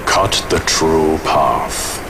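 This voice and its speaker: An actor voicing a grave, world-weary former warrior in a video game, speaking solemnly in formal, archaic phrases. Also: male voice, extremely slow, noisy background, heavily acted. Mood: brooding. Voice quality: deep. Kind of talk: dramatic video game voice acting. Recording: loud music behind the voice.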